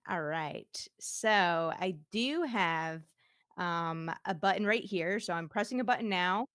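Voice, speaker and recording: A woman's voice: fairly low pitch, lively intonation, measured pace, a bit bright, no room sound, clean studio sound. A woman VTuber chatting casually and demoing her streaming setup, giggling often. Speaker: VTuber guest. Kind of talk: casual livestream demo chat. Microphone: streaming microphone.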